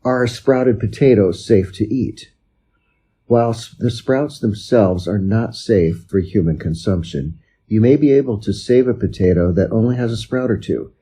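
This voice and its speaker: A man's voice: on the low side, mellow, husky. A man reading scripted informational passages aloud for an English lesson.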